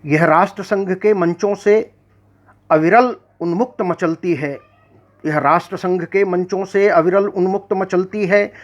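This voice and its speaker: A man recites poetry in an unhurried, declamatory way and often repeats a line before moving on. His formal manner fits a poet addressing an audience. The recording sounds dull and lo-fi, with the highs rolled off. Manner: measured, declamatory, repeats verse lines. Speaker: poet and magazine editor.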